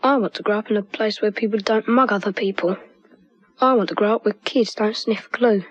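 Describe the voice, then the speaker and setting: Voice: medium pitch, fairly quick, breathy edge, no echo, natural voice. A young performer playing an earnest child in a scripted TV advert monologue. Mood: earnest, wistful. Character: earnest child wishing for a safe neighbourhood. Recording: dull, dry vintage TV soundtrack.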